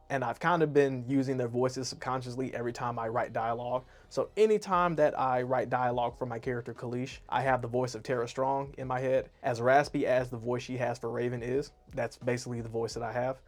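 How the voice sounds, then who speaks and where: Male vlogger, mid-pitch, casual rambling delivery, pokes fun at himself. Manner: rambling, self-deprecating, chatty. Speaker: YouTube artist and animator. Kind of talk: casual year-review monologue to camera.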